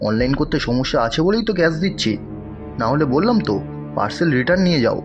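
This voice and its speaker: A man's voice: fairly high pitch, big pitch swings, fast talker, highs cut off, music in the background. An actor playing a rude, sarcastic, hot-tempered young male customer in a cartoon. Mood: scornful, irritated. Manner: curt, sarcastic, scornful. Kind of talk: animated cartoon dialogue.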